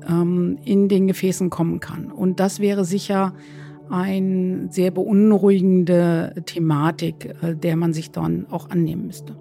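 Woman, medical expert, slightly low mellow voice, measured explanations, faint music behind. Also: creaky. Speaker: space medicine expert, doctor. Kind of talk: explanatory interview answers.

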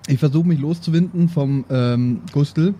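A man's voice: on the high side, a little slow, warm tone, husky. A man playing a tabletop game, casually describing his moves and dice rolls. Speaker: tabletop player.

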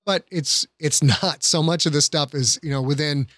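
A man's voice: a little high, normal speed, a bit bright, no room sound, clean studio sound. A middle-aged man in a casual podcast discussion, explaining things in an earnest, plain-spoken way.

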